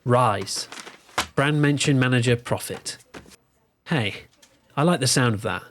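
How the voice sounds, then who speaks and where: A man's voice: medium pitch, measured pace, a little hiss or hum, close to an everyday voice. An actor playing a pompous, jokey male advertising boss in an audio drama. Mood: playful, pompous.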